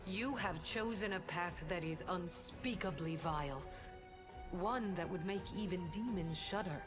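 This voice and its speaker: A woman's voice: lowish voice, measured pace, natural voice. A woman voicing a stern, righteous figure in a fantasy game, formal and reproachful, with music playing behind her. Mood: grave condemnation. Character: stern, righteous woman condemning an evil path.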